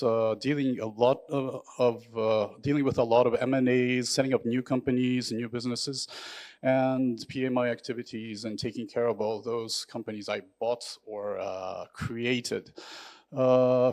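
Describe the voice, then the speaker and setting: A man from Japan in his thirties, introducing himself on a panel in halting speech full of fillers and restarts. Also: mid-range voice, gravelly, darkish tone. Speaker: panelist, startup executive.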